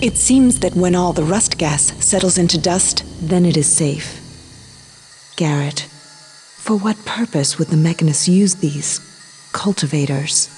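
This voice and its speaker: An actress playing a grave, composed and mysterious woman ally in a video game, speaking in a measured, formal way. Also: on the low side, darkish tone, a little hiss or hum, close to an everyday voice. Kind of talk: video game cutscene acting.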